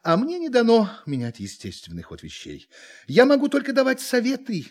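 An actor in a Russian audio drama playing a condescending, gleeful alien visitor with a theatrical, mock-polite manner. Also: male voice, extremely high pitch, wildly swooping pitch, moderate pace, exaggerated voice. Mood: smugly condescending.

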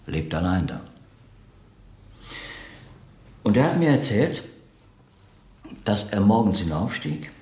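A thoughtful man in a radio drama, recollecting with hesitant, earnest pauses. His slightly low voice moves through very lively inflections. The room adds noticeable echo around it. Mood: reflective, recollecting. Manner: searching, hesitant, earnest, pausing to reformulate. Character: reflective man recalling an enigmatic late writer friend. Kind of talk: naturalistic German radio play acting, interview-like monologue.

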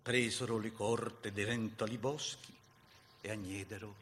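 An actor playing a grieving Garibaldian veteran, reciting dramatic verse with a Roman accent in an impassioned way that swings between hushed and loud. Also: male voice, on the low side, steady tone, measured pace, big-room sound, a little hiss or hum. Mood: measured recounting. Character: grieving Garibaldian veteran recounting a bloody battle. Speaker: actor reciting a dialect poem.

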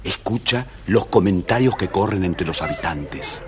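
A man with a husky voice narrating a scripted children's story, dramatic and speaking straight to young listeners. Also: low voice, expressive, a little slow, noisy background.

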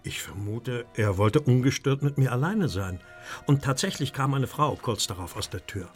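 A man's voice: mid-range voice, animated, normal speed, faint music behind, lightly acted. Man playing an uneasy, genteel Victorian art dealer. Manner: formal, uneasy, recounting in detail. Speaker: actor in a detective radio play. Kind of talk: German radio crime drama acting.

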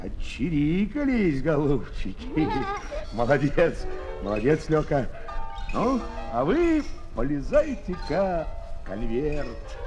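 Casting: fussy fairy-tale postman, lightish high voice, exclamatory and urgent, old children's audio play with music behind. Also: huge pitch swings, slow pace, full character voice. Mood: fussy, triumphant. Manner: urgent, repeating words, exclaiming. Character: fussy, kindly fairy-tale postman who delivers dreams. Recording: vintage 1970s flexi-disc with music.

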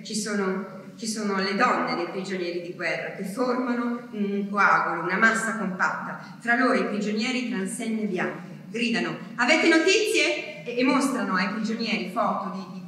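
An actress playing an anguished woman who waits for her deported husband, delivering a halting theatrical diary monologue that moves between hushed words and cries. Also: mid-range voice, lightly acted. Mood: urgent, agitated. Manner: halting, repeating words, swinging from hushed to cried out. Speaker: stage actress performing a monologue. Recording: echoey hall from far off, noisy.

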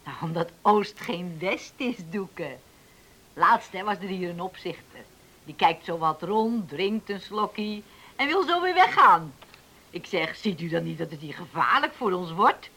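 An actress playing a plain-spoken, worried island housewife with a folksy, emphatic way of talking in a radio play. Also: female voice, lowish voice, very animated, measured pace, pushed and bright, exaggerated voice, low-quality recording. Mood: worried, grumbling. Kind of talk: radio drama acting.

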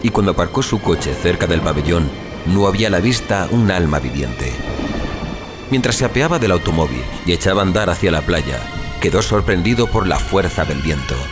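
A man's voice: low-pitched, lively intonation, brisk. A man narrating a scripted suspense horror story as an audio fiction narrator. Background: music.

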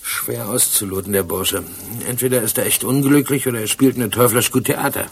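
An actor playing a wry, deferential police sergeant with a husky voice in a German radio crime drama. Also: male voice, medium pitch, fairly quick. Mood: wry, weary. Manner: wry, questioning, respectful.

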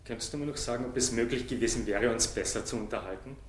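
A man's voice: medium pitch, quick pace. A man putting formal, prepared questions as an interviewer. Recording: roomy, faintly noisy interview recording.

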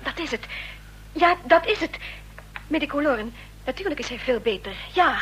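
A devoted, anxious woman in a radio play. Her voice is high, earnest and emotional, with faint hum behind it. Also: expressive, moderate pace, fairly bright tone, rough recording. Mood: insistent, hopeful. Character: devoted, anxious woman in love, quick-witted. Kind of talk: Dutch radio drama acting. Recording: faint noise and hum, old radio recording.

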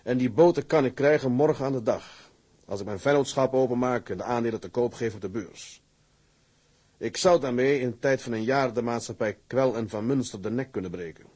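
Actor as a proud, husky sea-tug captain. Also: male voice, medium pitch, a little fast, a little mellow, natural voice. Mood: determined, bitter. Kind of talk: Dutch radio play acting.

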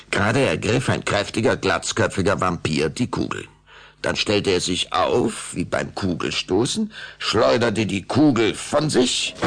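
Ein Mann mit rauer, heiserer Stimme erzählt als Erzähler eines Kinderhörspiels eine Vampirgeschichte, dramatisch und nach Skript. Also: male voice, on the low side, slight background noise, heavily acted. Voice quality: gravelly, husky. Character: storyteller of a children's vampire tale. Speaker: narrator. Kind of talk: scripted dramatic narration.

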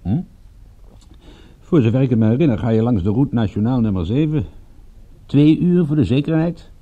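A man's voice: medium pitch, animated, moderate pace, dark tone, lightly acted. A man playing a wry private detective in a radio play, with a dry, laconic delivery, at times narrating his own case. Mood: casual. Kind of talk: radio play acting with first-person narration. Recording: dull, lo-fi radio play recording.

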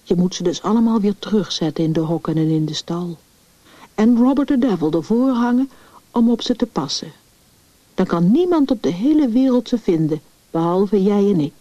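A woman's voice: mid-range voice, expressive, normal speed, mellow, dry recording, close to an everyday voice. A woman voicing an earnest, imaginative little girl in a radio story. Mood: serious. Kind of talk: Dutch radio story reading. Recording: dull, lo-fi radio recording.

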